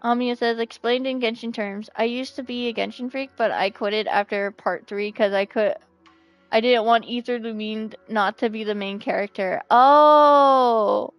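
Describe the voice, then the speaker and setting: A woman chatting casually as she livestreams a game, with a playful, expressive way of talking that readily turns to pleading and exclaiming. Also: a little high, moderate pace. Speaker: game streamer. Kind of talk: casual livestream chat over gameplay. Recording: music behind the voice. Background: music.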